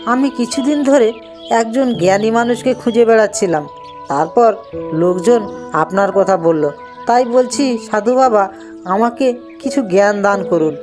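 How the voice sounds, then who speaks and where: A woman's voice: on the high side, a little slow, over music, natural voice. A woman voicing a naive, humble young simpleton in a cartoon, pleading and deferential. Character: naive, humble foolish young man of twenty, beseeching. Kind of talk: cartoon moral-tale character acting.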